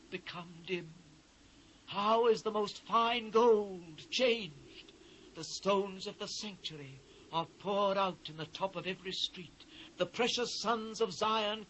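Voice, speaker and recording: A man's voice: sits high, fairly bright tone, rough recording. A British man playing an anguished biblical prophet in a dramatised scripture reading, solemn, declamatory and impassioned. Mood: mournful. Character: anguished male biblical prophet lamenting his ruined people. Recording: faint noise, old cassette transfer.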